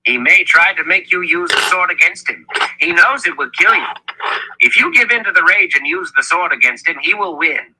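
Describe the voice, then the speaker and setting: A man's voice: fairly high pitch, expressive, normal speed, noisy background, voice pushed up, lightly acted. A man voicing an old wizard mentor in an audiobook, grave and fatherly, admonishing with emphasis and affection. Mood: earnest warning.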